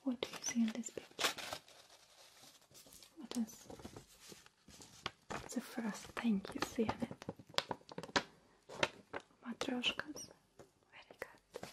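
A woman who is not a native English speaker, whispering softly and reassuringly in an ASMR roleplay as a gentle, friendly alien, with halting phrasing. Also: on the high side, steady tone.

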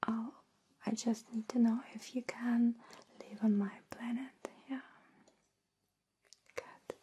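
A woman whispering softly and reassuringly in an ASMR roleplay, playing a kind, caring alien examiner. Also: on the high side, fairly even intonation, a little hiss or hum. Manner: soft, slow, reassuring, with gentle repetition. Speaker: ASMR performer.

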